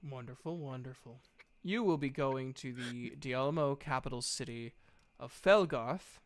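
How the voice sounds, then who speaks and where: Casting: man as formal, measured veteran officer woman for tabletop fantasy, smooth and clean. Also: mid-range voice, no room sound, close to an everyday voice. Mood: formal, composed. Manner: measured, polite, official phrasing. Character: Willow, formal composed female veteran officer.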